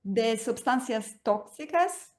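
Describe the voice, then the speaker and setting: A woman's voice: on the high side, expressive, slow pace, darkish tone. A woman whose first language is Portuguese gives a webinar presentation over a video call, talking with frequent hesitations and restarts. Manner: hesitant, many fillers and restarts. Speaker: guest speaker.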